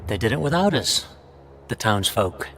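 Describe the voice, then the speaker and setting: A man's voice: on the low side, sing-song, moderate pace, background noise. A man with an American accent playing a breezy, cynical intelligence officer in a video game, folksy and teasing. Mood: wry, cynical. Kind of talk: video game cutscene acting. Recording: loud music behind.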